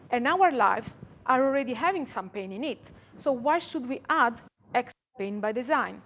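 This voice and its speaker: A woman from Italy with an Italian accent, giving a conference talk and guiding the audience through a calm visualization. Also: high voice, a little hiss or hum. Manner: calm, slow guiding voice, speaks to listeners directly. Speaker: conference speaker. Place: conference room.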